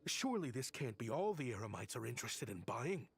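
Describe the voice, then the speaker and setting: Earnest exiled scholar, expressive range. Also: male voice, medium pitch, fairly quick, creaky. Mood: resolute, weary. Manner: guarded, earnest, grave. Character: principled, regretful exiled male scholar and weapons inventor. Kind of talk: video game voice acting. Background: game music.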